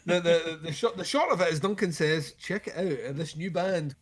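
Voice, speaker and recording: A man's voice: a little high, moderate pace. A man co-hosting a livestream who rambles through a casual chat about albums and swears freely. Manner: rambling, many fillers and restarts, swears freely. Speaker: co-host.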